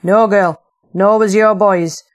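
A woman's voice: medium pitch, steady tone, takes it slowly, lightly acted. A woman voicing a blunt, tough older rebel leader, in an audiobook. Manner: blunt, gruff dialect.

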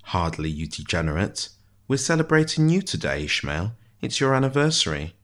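A man's voice: low voice, very animated, normal speed, fairly bright tone. A man voicing a good-humoured, bantering jailer with a sing-song manner in a storybook reading.

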